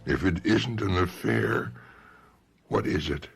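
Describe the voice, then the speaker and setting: A man playing a devoted, pleading husband near seventy in a radio drama, with a gravelly, husky voice and halting, emotional delivery. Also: sits low, animated, faint music behind, exaggerated voice. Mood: defensive, distressed.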